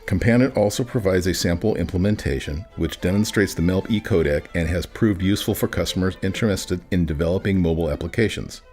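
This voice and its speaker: A man with a fairly low voice narrates a software demonstration. He reads from a script as a tutorial presenter. Music plays behind him. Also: fast, hardly any pauses. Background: background music.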